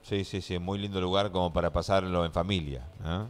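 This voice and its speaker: A smooth, clear male voice, fairly low, with an Argentine accent. He is a middle-aged radio host conducting a live interview conversationally from a studio, close on the microphone. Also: steady tone, brisk, soft background music. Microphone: close studio microphone, headset monitoring.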